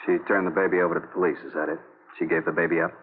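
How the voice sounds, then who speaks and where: A man's voice: sits low, level tone, very fast, slight background noise. An actor playing a shaken but forgiving army captain in his thirties in a 1950s radio drama. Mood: shaken, questioning. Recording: muffled narrow-band old radio recording.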